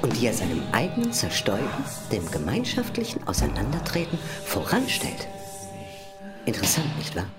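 A low-voiced female guide leads the listener confidingly through a radio play over prominent music. Her delivery is hushed and musing, often close to a whisper, yet very animated in pitch. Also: fairly quick, heavily acted. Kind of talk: experimental radio play, guided-tour narration.

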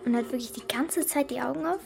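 A woman's voice: high voice, quick pace, vocal fry. A female performer voicing a frank, curious young girl in a children's radio play, casual and inquisitive. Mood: curious, hushed. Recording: music behind the dialogue.

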